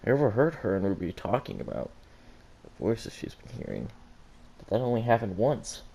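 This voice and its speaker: A reserved young woman thinks to herself in a story, read by a man in an everyday voice. The voice is fairly low and very mellow, introspective and puzzling things through. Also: big pitch swings, moderate pace, gravelly, husky, exaggerated voice. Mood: puzzled, brooding. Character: pensive, reserved young woman puzzling over her feelings.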